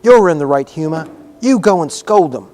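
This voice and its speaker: A man's voice: a little high, expressive, darkish tone, faint music behind, pitched above the performer's own voice, natural voice. A man voicing a flustered, frightened female nurse in a storybook, with an anxious manner. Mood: urging. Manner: hurried, anxious.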